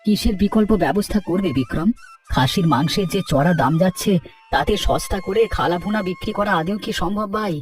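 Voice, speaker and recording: A man's voice: sits high, a little fast, recorded from a distance, soft background music, close to an everyday voice. A man voicing a worried, poor village rickshaw puller with a plaintive manner in a cartoon. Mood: worried, downcast. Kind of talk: Bengali cartoon dialogue.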